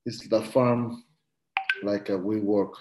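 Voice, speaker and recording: A man from Guatemala, a coffee farmer, answering interview questions over a video call in halting English with many fillers. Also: lowish voice, flat delivery, reverberant, background noise. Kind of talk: live-stream interview answers.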